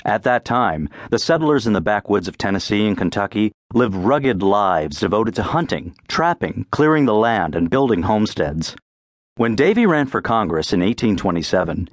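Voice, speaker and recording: A man reading scripted folk-tale narration as an audiobook narrator, with clear phrasing and a dramatic storyteller's delivery. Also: on the low side, sing-song, normal speed, no echo, studio quality.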